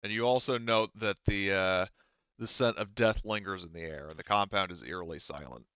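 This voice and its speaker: A man narrating a tabletop game as game master in a casual, halting, rambling, conversational way. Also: low voice, sharp tone, smooth, no echo, muffled recording.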